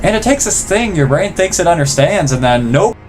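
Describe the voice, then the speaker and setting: A man gives casual reaction commentary as a video host. His voice is on the high side and very expressive, with a joking, self-deprecating way of talking. Faint music sits behind him. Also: fairly quick.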